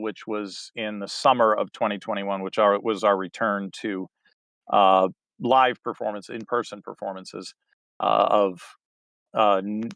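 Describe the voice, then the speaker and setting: A middle-aged man giving a rambling, halting interview answer, full of fillers and restarts. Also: mid-range voice, a bit bright, smooth, no room sound. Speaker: interview guest, theater director. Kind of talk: casual interview talk.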